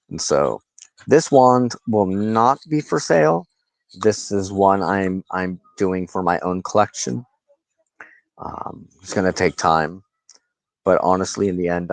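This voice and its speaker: A man with a very low voice talks slowly and reflectively, as if to his own viewers. He halts and pauses mid-sentence as he rambles through his thoughts. The sound is dry and close. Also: no echo, rough recording. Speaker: host of a personal spiritual channel, wand crafter. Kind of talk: rambling informal monologue to viewers.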